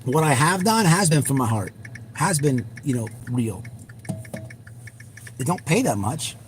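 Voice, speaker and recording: A man hosts a casual livestream in a voice pitched a little high. His talk is blunt and full of slang and swearing. There is some car noise behind him. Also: very animated, unhurried. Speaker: livestream host. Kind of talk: casual livestream chat and commentary. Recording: noisy recording with car sounds.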